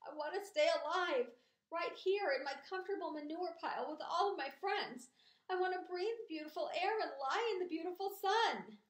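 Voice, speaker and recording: A woman's voice: very high-pitched, extremely slow, hardly any pauses, voice pushed up, full character voice. A woman voicing a naive, tearful young male pig in a storybook, wailing and pleading like a child. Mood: wailing despair. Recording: echoey room.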